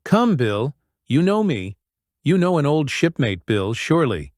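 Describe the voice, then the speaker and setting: A man voicing a fawning, sneering middle-aged pirate with a slow drawl, on a close, dry mic. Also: fairly high pitch, very animated, a little mellow, no room sound, voice pushed up. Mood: sly. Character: wheedling menacing middle-aged male pirate.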